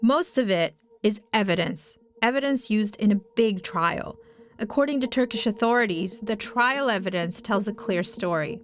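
A woman reporter from Afghanistan, a native Farsi speaker, narrating a documentary in a measured, serious way. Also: medium pitch, expressive, a little hiss or hum. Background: music.

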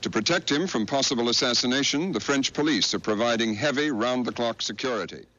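Male news correspondent, formal broadcast read, mid-pitched voice, dull archival TV audio. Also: expressive, fairly bright tone. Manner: formal, measured delivery.

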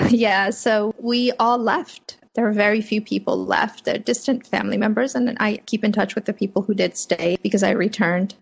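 A woman from Afghanistan, a native Persian speaker, speaking as a journalist guest in a measured, reflective way from her home office. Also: mid-range voice, fairly even intonation, gravelly, husky. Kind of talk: interview over remote link. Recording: muffled lo-fi remote audio.

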